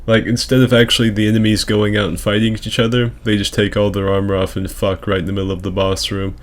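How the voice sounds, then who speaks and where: A man with a fairly low voice hosts gaming commentary in a casual, comedic register. His delivery is crude and sarcastic, full of exaggeration. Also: little pitch movement, fast talker. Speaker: gaming video host.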